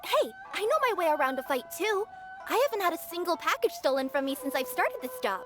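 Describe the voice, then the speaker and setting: A woman's voice: very high voice, big pitch swings, fairly quick, music in the background. A woman voicing a feisty young female yokai courier in a video game, proud, dutiful and emphatic. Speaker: parcel courier. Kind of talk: animated video game voice acting.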